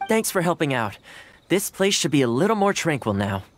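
A man's voice: on the high side, big pitch swings, brisk, a little hiss or hum. An actor playing a friendly, caring, patient young man in a video game, with a casual, coaxing manner. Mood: thoughtful. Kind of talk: video game character dialogue. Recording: music under the voice.

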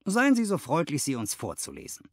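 A man voicing an excited, commanding detective in an audiobook, with emphatic exclamations. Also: on the high side, fairly quick, slightly rough, no room sound, studio quality, pitched above the performer's own voice, full character voice. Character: excited, commanding male detective, sharp and assured. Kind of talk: audiobook character dialogue.

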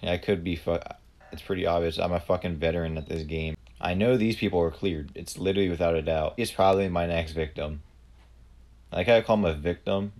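Low gravelly man ranting over a game. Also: fairly even intonation, darkish tone. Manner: profane, blunt, quick to rant. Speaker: gamer streamer. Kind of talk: live gameplay commentary.

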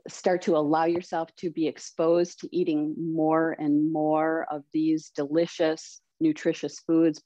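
A low-pitched woman with a mellow, warm tone explains plant-based eating patiently, with lots of gentle fillers. She speaks over a slightly dull, dry webcam connection from a home kitchen. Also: no room sound. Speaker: plant-based cooking instructor. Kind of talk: answering questions in a video call. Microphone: webcam at a distance. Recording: slightly dull, dry video-call audio.

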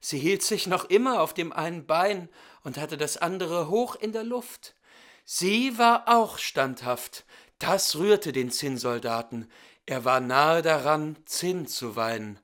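A man tells a fairy tale in a fairly high, very bright voice. He takes his time, shaping each phrase with dramatic, theatrical care. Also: expressive, slow. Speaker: storyteller reading a fairy tale.

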